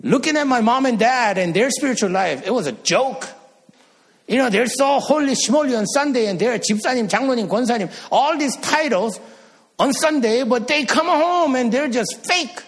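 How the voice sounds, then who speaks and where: A man's voice: very high voice, expressive, fast talker. A middle-aged man from Korea, preaching an earnest sermon as a pastor and rising to emphatic peaks.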